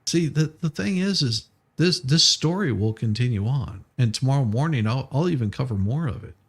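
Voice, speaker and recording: A man's voice: mid-range voice, lively intonation, normal speed, slightly rough. A man hosting a livestream in casual chat, blunt and a little profane in how he talks. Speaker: livestream host.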